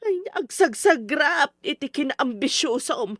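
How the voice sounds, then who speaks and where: A woman's voice: high voice, expressive, a little slow, fairly bright tone, no room sound, clean studio sound. An actress with a Filipino accent playing a worried older mother in a radio drama, lamenting and reproachful. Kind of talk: melodramatic radio drama acting.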